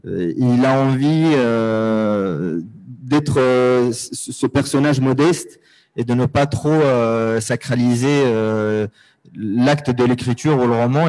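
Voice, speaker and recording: A Tunisian man, a native Arabic speaker, talking on a panel in a mid-pitched voice. He pauses often and fills the gaps with hesitations as he works through his ideas. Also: darkish tone. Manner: hesitant, many pauses and fillers. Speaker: writer on a panel. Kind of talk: reflective panel talk.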